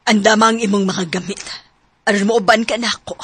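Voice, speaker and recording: A woman with a Cebuano Filipino accent playing a protective, decisive older mother-in-law with a husky voice in a radio drama. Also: mid-range voice, steady tone, brisk, fairly bright tone, no echo. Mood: firm, caring.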